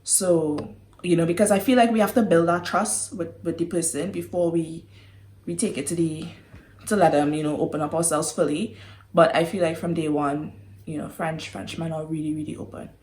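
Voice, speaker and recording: A woman's voice: sits low, steady tone, moderate pace, a little mellow, slight background noise. A young woman with a Trinidadian accent, chatting casually to camera, giggly, sighing and apologizing as she goes. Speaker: vlogger. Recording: roomy echo.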